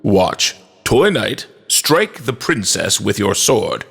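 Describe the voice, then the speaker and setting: A man's voice: lowish voice, sing-song, takes it slowly, creaky, background noise, heavily acted. A man voicing a cold, officious police inspector and father in a storybook, speaking formally. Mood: insistent.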